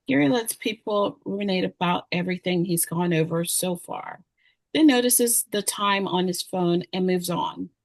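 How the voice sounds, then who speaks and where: A woman's voice: lowish voice, normal speed, vocal fry, dry recording. A woman reading stage directions as the narrator of a screenplay table read.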